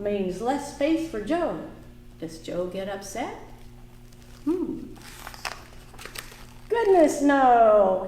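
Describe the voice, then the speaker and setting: A woman reading a picture book aloud to small children at a library storytime, singing the refrains. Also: a little high. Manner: slow, singsong, animated for small children. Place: library room. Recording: echoey room, faint background noise.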